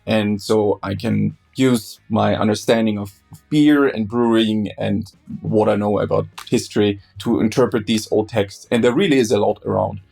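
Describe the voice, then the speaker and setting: A man from Austria, a German speaker, talking as a guest in a conversational interview, often halting and repeating words. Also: lowish voice, steady tone, creaky, darkish tone, slightly rough, faint music behind. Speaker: guest beer historian.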